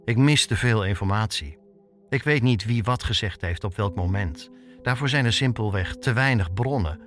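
A man's voice: on the low side, moderate pace. A man with a Netherlands Dutch accent narrating a scripted personal documentary as a reporter, reflective and posing questions to himself. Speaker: reporter and podcast host. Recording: music bed under the voice. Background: music.

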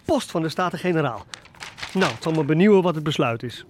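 An actor playing a domineering, gloating slave-ship captain in a radio drama, giving commands. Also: male voice, fairly high pitch, animated, a little fast, slight background noise, close to an everyday voice. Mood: formal, expectant. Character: triumphant, domineering slave-trading ship's captain.

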